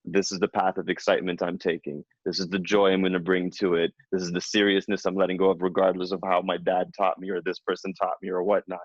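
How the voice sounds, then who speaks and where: A man in his thirties, a spiritual coach, giving a slow, soothing reading and then chatting casually with his client. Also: deep voice, little pitch movement, dry recording. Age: 30s. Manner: slow, soothing, affirming delivery. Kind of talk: guided channeled reading, then casual chat. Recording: dull lo-fi sound.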